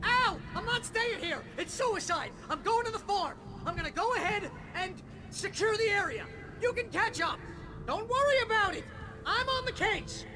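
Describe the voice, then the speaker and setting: An actor playing an excitable, loyal, blustering male sidekick in a video game, loud, jittery and wisecracking. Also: very high voice, normal speed, crowd noise. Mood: giddy bravado. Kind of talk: dramatic video game dialogue. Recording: loud music under the voice.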